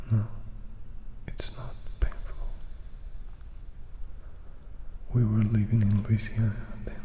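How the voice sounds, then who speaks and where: A man voicing a composed, melancholy young vampire in a hushed, measured storybook whisper. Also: fairly low pitch, slight background noise, natural voice. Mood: somber, measured. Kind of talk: whispered ASMR storybook reading.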